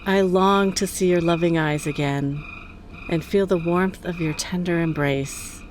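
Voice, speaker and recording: A lowish-voiced, lovelorn wife played by an actress in a noir radio play. She speaks with tender, formal longing, as if voicing a secret love letter, over faint noise. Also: a little slow, lightly acted, rough recording. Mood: yearning. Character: yearning married woman reading her secret love letter. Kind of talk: romantic voice-over in noir audio drama.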